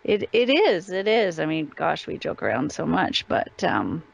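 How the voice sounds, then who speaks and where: A woman's voice: lowish voice, sing-song, unhurried, gravelly. A woman chatting casually as an interview guest. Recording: slightly dull lo-fi call audio.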